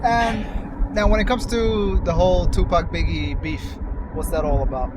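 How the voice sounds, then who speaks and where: A man's voice: sits high, steady tone, moderate pace. A man talking casually as a co-host, in a conversational questioning style, recorded inside a car. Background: vehicle and road noise.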